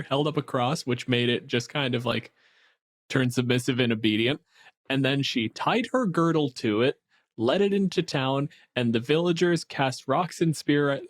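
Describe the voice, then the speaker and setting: A man's voice: mid-range voice, no echo, studio quality. A man chatting casually as a podcast co-host, explaining monster lore in a wry way with the odd swear word.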